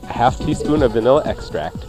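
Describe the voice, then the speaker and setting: Man, radio cooking host, medium pitch, casual and jokey recipe talk, faint music underneath. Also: expressive, quick pace, low-quality recording. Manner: chatty, jokey, conversational.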